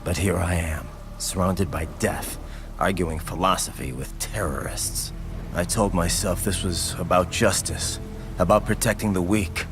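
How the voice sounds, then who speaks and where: An actor playing a grim cyborg swordsman in a video game, a born killer, with a gravelly, breathy growl and slow, menacing delivery. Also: low-pitched, music in the background. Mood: bitter, brooding. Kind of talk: dramatic video game cutscene acting.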